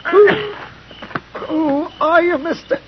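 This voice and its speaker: A man's voice: very high voice, slow. An American man playing a cowardly captured outlaw in a 1950s radio western, whining, stammering and pleading frantically. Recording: muffled, slightly hissy old radio recording.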